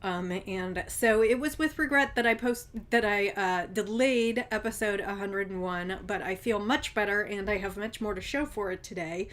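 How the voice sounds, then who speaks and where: A woman's voice: medium pitch, normal speed, hardly any pauses, a bit bright. A woman hosting her own show in a casual chat to camera, slightly congested from a cold and chatty, with frequent ums. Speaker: podcast host.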